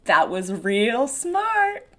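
A woman's voice: a little high, wildly swooping pitch, extremely slow, sharp tone, exaggerated voice. A woman reading the part of a kindly, admiring young woman in a stage play. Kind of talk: script read-through of a play.

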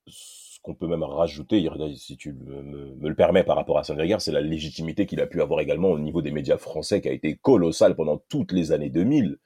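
Very deep-voiced man, podcast panelist. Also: fast, mellow, breathy edge, dry recording, clean studio sound. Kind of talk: opinionated podcast discussion.

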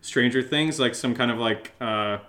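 A man co-hosting a podcast, answering questions in a casual, jokey, rambling and halting way. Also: mid-range voice, pushed and bright. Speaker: co-host of an audio drama podcast answering listener questions. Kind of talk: casual unscripted Q&A chat.

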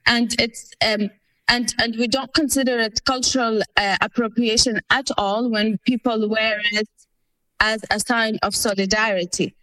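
A woman's voice: a little high, fairly even intonation, moderate pace, pushed and bright, dry recording, low-quality recording. A woman of Palestinian family background, answering interview questions in an earnest, explanatory way with many fillers. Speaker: guest.

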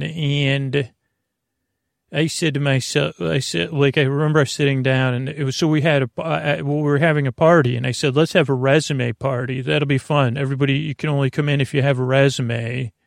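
Male sleep-podcast host: gravelly fry, close dry sound, gentle, reassuring, unscripted and wandering. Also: medium pitch, fairly even intonation, dry recording. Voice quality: creaky, gravelly. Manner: meandering, halting, trails off into tangents and self-corrections. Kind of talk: rambling, unscripted bedtime podcast monologue.

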